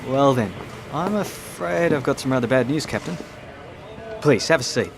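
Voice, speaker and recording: Courteous Australian navy commander. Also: male voice, mid-range voice, animated, creaky, background noise. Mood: grave. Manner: polite, measured, plain-spoken. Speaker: actor in an audio drama. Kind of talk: audio drama acting.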